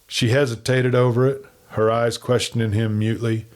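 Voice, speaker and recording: Man, audiobook narrator, mid-range voice, western story, dramatic, expressive delivery. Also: mid-range voice, normal speed.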